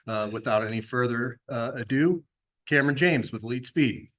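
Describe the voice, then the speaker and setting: An American man giving a halting, informal introduction as the host of a club meeting, with a joking manner. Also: medium pitch, a bit bright.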